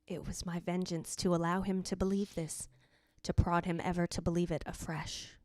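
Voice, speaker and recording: A woman playing the jealous, brooding ghost of a dead wife, narrating in a deliberate, literary old-time radio drama style. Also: on the low side, flat delivery, a little mellow, dry recording, close to an everyday voice. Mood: bitter, reflective.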